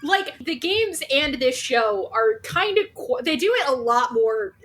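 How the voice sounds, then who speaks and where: A woman co-hosting a podcast in animated casual discussion, talking emphatically and swearing freely. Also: high-pitched, measured pace. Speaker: podcast co-host.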